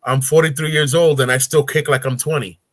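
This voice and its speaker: A man's voice: fairly high pitch, fairly even intonation, brisk, dry recording, clean studio sound. A man in his forties, a guest on a casual talk show, telling stories with energy and acting out grunts and voices. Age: 40s.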